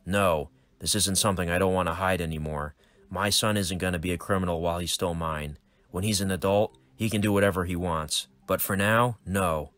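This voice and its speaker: A man playing a weary, protective middle-aged hitman father, speaking in a steady, earnest voice. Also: low-pitched, fairly quick, dry recording. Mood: angry.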